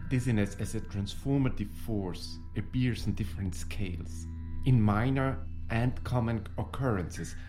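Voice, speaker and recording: A man's voice: fairly low pitch, a little slow. A man co-hosting a podcast in scripted narration. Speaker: podcast co-host. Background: faint guitar music.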